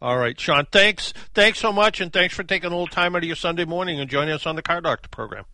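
Bright-voiced man hosting a radio car show. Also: a little high, lively intonation, quick pace, no room sound. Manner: conversational, with many fillers and restarts. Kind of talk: call-in radio talk. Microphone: studio microphone.

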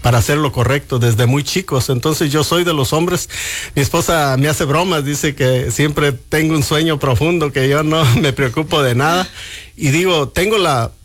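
Older guy from mexico on a radio show, thoughtful, kinda high voice, faint music under it. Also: moderate pace. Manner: earnest, reflective, with frequent restarts. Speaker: guest. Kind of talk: radio interview. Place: radio studio booth.

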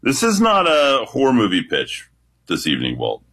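A man with a deep voice calling into a radio show, talking confidently and animatedly. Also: huge pitch swings, moderate pace, fairly bright tone, slightly rough. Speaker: radio caller. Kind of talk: casual radio talk.

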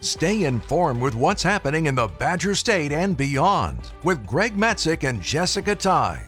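Man, station announcer, polished, slightly high, faint music. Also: very animated, a little slow, fairly bright tone. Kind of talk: station promo and ID.

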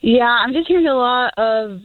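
A woman's voice: on the high side, animated, moderate pace, no echo. Cheeky woman calling in by phone. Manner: blunt, cheeky, offers frank advice. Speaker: caller. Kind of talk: phone-in call to a radio show. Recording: phone line.

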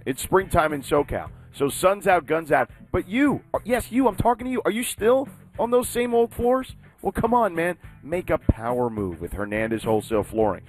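A man hosting a sports talk show, talking with emphatic, animated delivery. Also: medium pitch. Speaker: sports talk radio host. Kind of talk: sports talk and live read ad.

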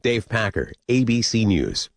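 A man's voice: on the low side, sing-song, measured pace, vocal fry, no echo, clean studio sound. A man reading a scripted radio news report.

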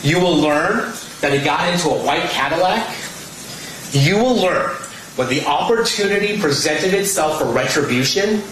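Prosecutor guy laying it out slow and emphatic, far off, lots of room echo. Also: a little high, expressive, a bit bright, a little hiss or hum. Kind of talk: courtroom statement. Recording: echoey courtroom, distant mic.

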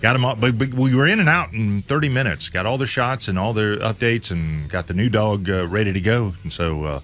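A man's voice: fairly low pitch, brisk, fairly bright tone. A man co-hosting a morning radio show, chatting casually with an easygoing, chuckling manner. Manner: easygoing, chuckling, lots of backchannel. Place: radio studio. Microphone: studio microphone.

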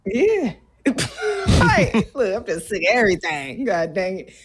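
A young woman co-hosts a radio show with playful, teasing banter. Her voice is husky and her pitch swings widely as she talks. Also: sing-song. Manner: playful, teasing, quick to laugh. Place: radio studio.

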